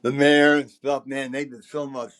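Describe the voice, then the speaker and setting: A man's voice: medium pitch, level tone, moderate pace. An older man, likely in his seventies, telling casual anecdotes in a rambling, emphatic, salty-tongued way. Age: older, around 70s. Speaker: podcast host telling stories as a former concert promoter.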